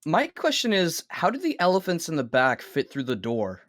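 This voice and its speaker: A man's voice: on the high side, wildly swooping pitch, moderate pace, a bit bright. A man chatting casually as a panelist on a podcast. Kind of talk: casual chat.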